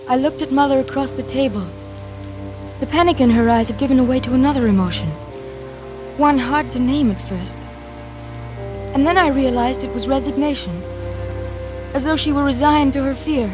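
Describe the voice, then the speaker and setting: An actress playing an anxious, trapped daughter in a 1940s suspense radio drama, tense and dramatic, halting when flustered. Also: female voice, high-pitched, natural voice. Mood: weary, haunted. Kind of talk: 1940s radio acting, first-person suspense narration. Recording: vintage radio drama with music behind.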